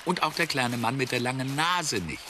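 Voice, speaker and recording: A man narrating a children's story expressively, with deliberate, dramatic pauses. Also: medium pitch. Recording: clearly noisy soundtrack.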